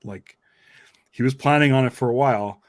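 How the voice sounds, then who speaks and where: An enthusiastic man chats casually as a podcast host, working out his ideas aloud in loose, rambling fragments. His voice sits at a middle pitch. The sound is dry and close, with very little room. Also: moderate pace, no echo. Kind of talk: casual fan discussion.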